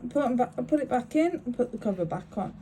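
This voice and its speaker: A woman's voice: fairly high pitch, lively intonation, fast talker, mellow, slight background noise. A woman, sniffly with a cold, talking through each step of making a bracelet in a chatty, apologetic way. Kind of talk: crafting tutorial.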